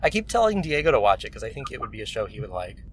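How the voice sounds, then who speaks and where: A man's voice: lowish voice, very animated, rapid-fire. A man from California with an American accent, chatting casually and hesitantly, often trailing off. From California, United States. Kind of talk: casual chat. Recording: noticeable ambient background noise.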